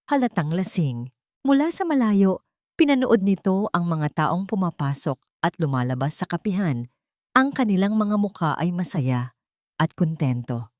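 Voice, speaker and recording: Woman reading out a drama story, kinda low voice, dry sound no room. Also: lively intonation, normal speed, darkish tone, dry recording. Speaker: narrator. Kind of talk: scripted dramatic story narration.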